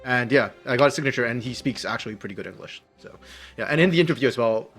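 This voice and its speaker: Fast-talking analytical esports colour caster. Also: male voice, medium pitch, lively intonation, fairly bright tone, faint music behind. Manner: analytical, explains strategy at length.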